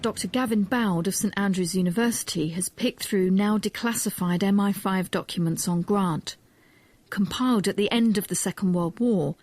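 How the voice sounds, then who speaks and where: A woman with a medium-pitched voice presents a history documentary. She narrates from a script and puts questions to her interviewees as a historian would. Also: moderate pace, darkish tone, a little hiss or hum.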